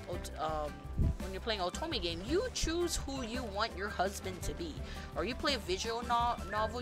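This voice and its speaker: A woman streamer rambling casually and excitedly, full of fillers and the odd swear word. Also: mid-range voice. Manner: gushing, animated, swearing casually, lots of fillers. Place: home streaming setup.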